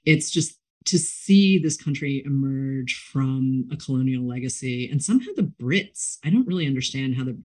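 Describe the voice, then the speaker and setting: Effusive, expressive woman interviewer. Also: very deep voice, normal speed, hardly any pauses. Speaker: interviewer, book show host. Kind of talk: enthusiastic book chat.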